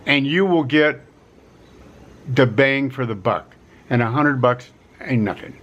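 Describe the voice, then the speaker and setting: A middle-aged man giving a casual vlog pitch from a quiet rural home, talking in a folksy way, repeating himself and stressing key words. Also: unhurried, muffled recording. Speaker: vlogger offering relocation advice.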